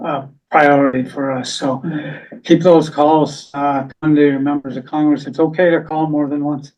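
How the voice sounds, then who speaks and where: A soft-spoken man with a slightly high voice reports to a meeting, hesitant and full of fillers. The recording is dull and muffled. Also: recorded from a distance. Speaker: anti-war team lead. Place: meeting room.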